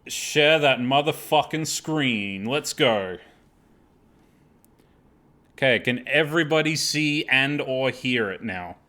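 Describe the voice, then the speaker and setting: A man's voice: medium pitch, lively intonation, takes it slowly, pauses often, bright, forceful tone. A man hosting a live gaming stream, chatting casually in a self-deprecating, apologetic way with plenty of swearing.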